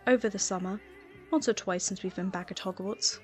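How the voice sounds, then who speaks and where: A woman's voice: mid-range voice, animated, brisk, darkish tone, close to an everyday voice. A woman voicing a cocky, playful teenage schoolboy with a casual, mock-offended manner in an audiobook. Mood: casual, guarded. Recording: music playing underneath.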